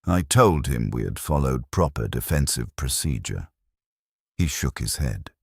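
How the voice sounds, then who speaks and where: A man with an extremely deep, husky voice narrates a military story in the first person. His delivery is grave, measured and clipped, yet the pitch moves in a lively way from phrase to phrase. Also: no room sound, studio quality.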